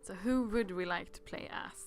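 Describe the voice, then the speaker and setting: A woman's voice: mid-range voice, moderate pace, soft background music. A woman playing a game on a livestream, chatting casually and reading aloud in a playful, giggly manner.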